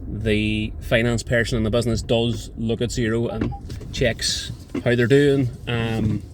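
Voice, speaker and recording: A man in his forties talks at a middling pitch, musing aloud and pausing often with filler sounds as he works through his thoughts. Heavy engine and traffic rumble sits behind him, recorded from inside a moving car. He sounds like a casual vlogger rather than a polished presenter. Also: flat delivery. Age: 40s. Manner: thoughtful, hesitant, frequent filler pauses. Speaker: accountant. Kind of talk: casual vlog to camera. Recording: loud road and car noise behind. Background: vehicle and traffic noise.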